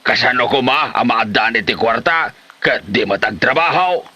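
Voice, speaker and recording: A man's voice: mid-range voice, lively intonation, moderate pace, pushed and bright, dry recording. A man playing an exasperated older village father figure who scolds and exclaims in a comedy drama. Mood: puzzled.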